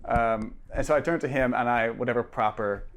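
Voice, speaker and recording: A man's voice: medium pitch, brisk, noisy background. A man playing a tabletop role-playing game, talking casually and hesitantly as he thinks aloud. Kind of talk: casual in-character roleplay and game talk.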